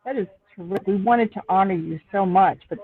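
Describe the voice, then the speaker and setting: A woman's voice: medium pitch, brisk. A woman giving casual, gushing, complimentary feedback after a show over a video call. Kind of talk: casual post-show praise. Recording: thin phone-like video call audio.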